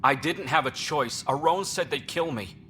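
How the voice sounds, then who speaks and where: An actor playing a self-serving, sneering colonial official in a video game, defensive and indignant. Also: male voice, a little high, normal speed, slight background noise. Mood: pleading, defensive. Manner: sharp, indignant.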